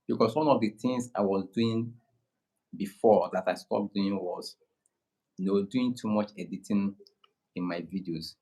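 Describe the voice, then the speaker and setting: A man with a Nigerian accent talks in an easy, unhurried way, often backing up to restart a thought. His voice sits on the low side. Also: mellow, breathy edge, rough recording. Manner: slow, unhurried, many restarts. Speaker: guest creator. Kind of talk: casual conversation.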